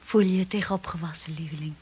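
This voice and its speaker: A woman's voice: fairly low pitch, steady tone, fast talker, mellow, husky. An actress playing a devoted, steadfast young wife and lady of the manor in a radio drama. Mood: supportive. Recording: noisy old radio play recording.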